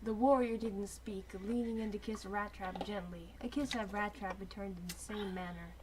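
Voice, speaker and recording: A woman's voice: mid-range voice, steady tone, moderate pace. Woman reading aloud over noticeable background noise. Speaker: reader of fan fiction. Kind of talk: dramatic reading broken by casual banter.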